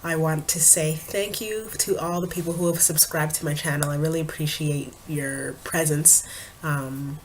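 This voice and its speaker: A young woman vlogger and poet chatting casually to her camera at home, her voice a little raspy from a cold, with frequent ums and small laughs. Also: sits low, steady tone, normal speed, a little hiss or hum, poor audio. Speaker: vlogger and spoken word poet.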